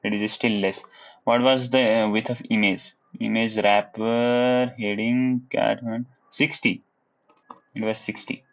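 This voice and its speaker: A young man with a Nepali accent talks through his coding live, in a fairly low, easygoing voice. He mutters to himself, chuckles, and fills pauses with hummed la-la tunes. He sits close to a desk mic. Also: little pitch movement, rough recording. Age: young adult. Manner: thinks aloud, hums la-la while working; laid-back, chuckles, switches into Nepali. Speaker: livestreamer learning web coding. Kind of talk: casual live coding commentary.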